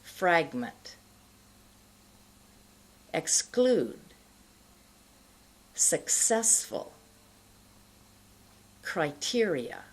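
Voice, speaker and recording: A middle-aged American woman teaches pronunciation at a slow, deliberate pace. Her voice sits a bit low and is slightly husky, with very animated intonation. She enunciates carefully, as a teacher leading learners through a lesson. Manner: deliberate, careful enunciation, pausing between words. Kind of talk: instructional lesson to camera. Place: indoor studio.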